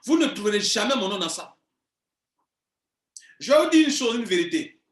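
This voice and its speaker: Man, 40s, business coach; high-pitched, insistent, repeats points, roomy echo. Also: sing-song, normal speed. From Ivory Coast. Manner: insistent, emphatic, repeats himself. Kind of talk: video monologue to viewers. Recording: echoey room.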